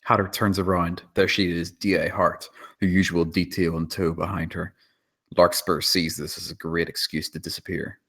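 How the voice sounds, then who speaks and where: Deep-voiced man narrating a screenplay. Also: little pitch movement, moderate pace, pushed and bright, slightly rough. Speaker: narrator reading stage directions. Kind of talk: scripted dramatic screenplay narration.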